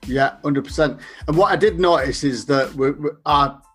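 A man's voice: a little high, normal speed, fairly bright tone, husky, soft background music. A man with a Northern English accent chatting casually as a podcast guest, often tagging questions onto his remarks. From Northern England. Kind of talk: casual conversational interview.

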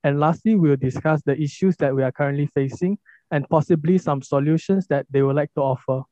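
A man's voice: on the high side, brisk, mellow, dry recording. A young man hosting a podcast, giving formal, orderly introductions and questions. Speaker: podcast host. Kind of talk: scripted podcast moderating. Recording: dull lo-fi recording.

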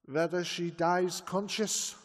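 Grave wise old doctor, played by a man; husky, unhurried, in a reverberant room. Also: high voice, steady tone. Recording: reverberant, slightly distant.

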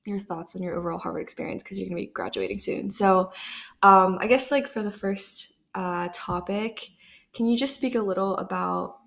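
A woman's voice: mid-range voice, fairly even intonation, moderate pace, gravelly, fairly bright tone. Chatty young woman hosting a podcast. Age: young adult. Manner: chatty, filler-heavy, upbeat. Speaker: podcast host. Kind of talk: casual podcast monologue.

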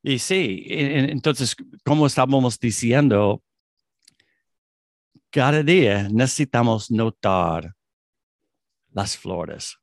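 Halting non-native Spanish-speaking man. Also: medium pitch, animated, no room sound, clean studio sound. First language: English. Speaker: podcast host, guest. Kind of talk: interview conversation.

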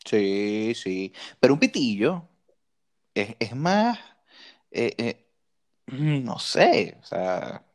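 A man's voice: mid-range voice, very animated, extremely slow, pauses often. A man with a Venezuelan accent co-hosting a casual podcast, speaking emphatically and often repeating words and rhetorical questions. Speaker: podcast co-host. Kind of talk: casual opinionated podcast chat.

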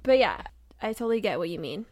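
A woman's voice: medium pitch, very animated, fast talker, darkish tone, dry recording. Young American woman, casual co-host, rambling filler-heavy delivery, dry close mic. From United States. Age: young adult. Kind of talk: casual chat.

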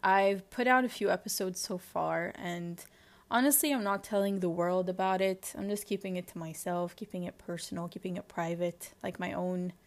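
A young woman in her twenties with a Lebanese accent, hosting a personal diary-style podcast and talking candidly and introspectively, often pausing to search for words. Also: on the low side, steady tone. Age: 20s. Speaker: podcast host.

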